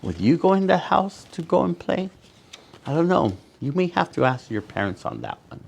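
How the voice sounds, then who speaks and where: A man reading a picture book aloud to children as a storyteller, with dramatic pauses and asides to his young listeners. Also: on the high side, sing-song, vocal fry, darkish tone.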